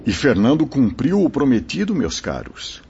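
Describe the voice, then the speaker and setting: An actor playing a wise, kindly spirit mentor in a radio drama, speaking in a solemn, formal, explaining way with a husky voice. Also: male voice, medium pitch, big pitch swings, moderate pace, darkish tone, a little hiss or hum. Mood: solemn, benevolent. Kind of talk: radio drama acting, declamatory. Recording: muffled lo-fi radio drama recording.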